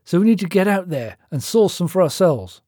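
Man acting a brash, bossy office boss, pitched up. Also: very animated, a little fast, mellow, slightly rough, dry recording, clean studio sound, heavily acted. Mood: brisk, instructing. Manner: bossy, blunt, matey.

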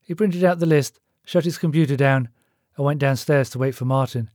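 A man's voice: medium pitch, brisk, darkish tone, no room sound, clean studio sound. A man reading scripted fiction as an audiobook narrator.

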